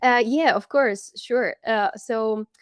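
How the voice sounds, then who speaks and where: A woman's voice: fairly high pitch, takes it slowly, clear, resonant tone, no room sound, clean studio sound. A young woman from the Czech Republic, a language coach, telling her story in a friendly, casual interview tone.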